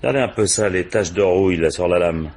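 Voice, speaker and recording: An actor playing a shrewd, authoritative police commissioner who questions insistently and methodically in a French radio crime drama. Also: male voice, low-pitched, fairly quick, a little mellow. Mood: brisk, urging.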